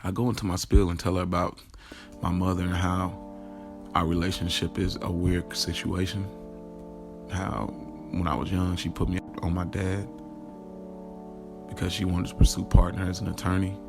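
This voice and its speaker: Audio drama narrator: candid, streetwise young man, slangy delivery, very creaky low voice over music. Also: level tone, normal speed, long pauses. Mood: candid, animated. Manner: colloquial, slangy storytelling. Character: streetwise young man, candidly confessing his love affair.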